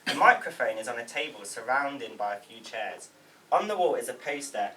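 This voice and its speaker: A man's voice: mid-range voice, expressive, moderate pace, sharp tone. Man reading stage directions from across the room. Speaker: stage directions reader at a table read. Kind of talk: read-aloud script narration. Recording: distant mic, faint room noise.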